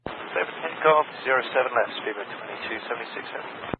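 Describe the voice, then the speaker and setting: A male airline pilot talks rapidly in a mid-pitched voice. He uses clipped radio phraseology. The sound is thin and band-limited, like a cockpit transmission. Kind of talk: clipped radio readbacks and calls. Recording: noisy narrowband cockpit radio.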